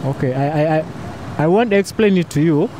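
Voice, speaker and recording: A man's voice: a little high, lively intonation, fairly quick. A young man with a Ugandan accent answering on a busy street, casual and hesitant, leaning on "like". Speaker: passer-by. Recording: loud traffic noise behind.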